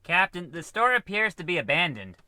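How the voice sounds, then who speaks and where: Man as spaceship science officer, very sharp bright voice, lively pitch, put-upon, fussy, radio comedy. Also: a little fast, dry recording, exaggerated voice. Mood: hesitant protest. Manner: deferential but fussy and protesting. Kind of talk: scripted old-time radio comedy acting.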